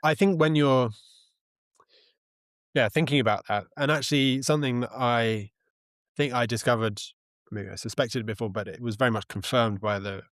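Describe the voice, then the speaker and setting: A man speaking as an interview guest, explaining things in a thoughtful, halting, conversational way. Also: mid-range voice, fairly bright tone, no room sound, studio quality. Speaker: interview guest, journalist and author.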